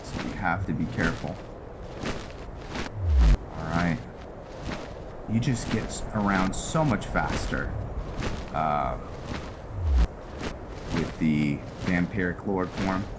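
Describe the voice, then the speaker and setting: Male game commentator: low register, unhurried and halting delivery, casual roleplay narration, prominent music underneath. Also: very noisy. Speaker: gaming video host.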